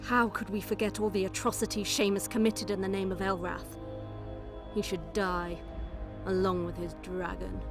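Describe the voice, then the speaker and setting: A woman playing a grave, poised undead necromancer noblewoman in a fantasy game, speaking in measured, formal phrases. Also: medium pitch, flat delivery, music in the background, natural voice. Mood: stern. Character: solemn, poised undead necromancer noblewoman telling her people's history. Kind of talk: scripted fantasy game narration and dialogue.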